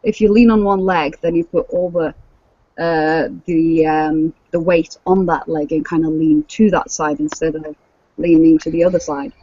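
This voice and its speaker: A woman's voice: low voice, fairly even intonation, moderate pace, mellow, dry recording. A woman co-hosting a casual podcast chat, explaining practical tips. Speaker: cosplayer and podcast co-host. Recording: dull lo-fi dry audio.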